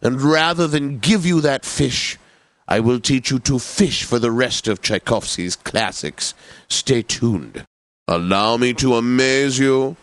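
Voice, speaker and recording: Pompous classical radio DJ, dry studio sound. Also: male voice, mid-range voice, slow, no echo. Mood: wry, chatty. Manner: pompous, precise, theatrically obliging. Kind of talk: scripted radio continuity announcing.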